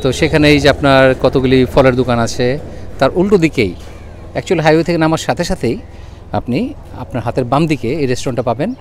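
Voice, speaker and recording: A man's voice: medium pitch, a little fast. A man with a Bangladeshi accent giving a casual, chatty food review as a vlog host, mixing in English words. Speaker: food and travel vlogger, host. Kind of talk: casual restaurant review to camera. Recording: busy roadside traffic and chatter behind.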